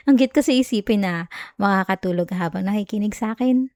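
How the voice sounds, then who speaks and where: Sweet, affectionate young Filipino wife, played by a woman, soft and intimate ASMR bedtime roleplay, mid-pitched, very dry close-mic sound. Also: moderate pace, no echo, lightly acted. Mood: playful, coaxing. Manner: soft, giggly, affectionate, coaxing. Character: sweet, clingy, teasing young wife soothing her partner. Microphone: close microphone, dry sound.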